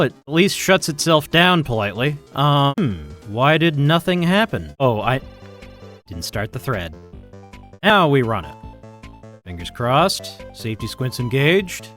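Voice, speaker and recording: A man's voice: medium pitch, bright, forceful tone. A man thinking aloud in casual, rambling, self-deprecating commentary as he live-streams his programming. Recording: music playing behind the voice.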